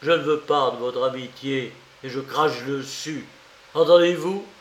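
A man's voice: mid-range voice, measured pace, natural voice. A man voicing a proud, furious suspect, defiant and exasperated, in a dramatized audiobook reading. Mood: angry defiance. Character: furious, proud, cornered male murder suspect. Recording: roomy, echoing voice recording.

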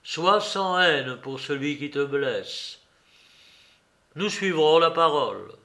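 A man voicing a solemn elderly monastery superior, speaking gravely and piously. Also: fairly high pitch, animated, takes it slowly, pushed and bright, exaggerated voice.

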